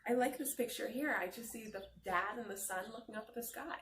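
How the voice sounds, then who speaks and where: A woman's voice: a little high, a little fast, a bit bright, echoey room, slight background noise. A woman doing an interactive picture-book read-aloud for children, encouraging them with questions and praise.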